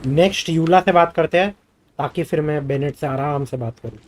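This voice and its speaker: A man's voice: fairly high pitch, racing. A man who natively speaks Hindi, commentating casually on a game and reading dialogue options aloud as a streamer. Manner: switches between Hindi and English.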